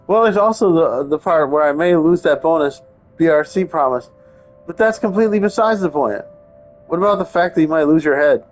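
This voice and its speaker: A man's voice: on the high side, lively intonation, moderate pace. A man doing casual let's-play commentary and reading narration aloud, with wry, sarcastic asides. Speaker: game streamer playing a visual novel. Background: game background music.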